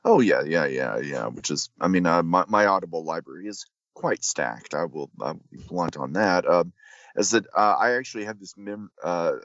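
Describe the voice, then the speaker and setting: Low-voiced man, slightly muffled and echoey. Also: moderate pace, a bit bright. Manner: enthusiastic fan. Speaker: podcast host. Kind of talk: casual interview chat. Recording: muffled, roomy echoing microphone.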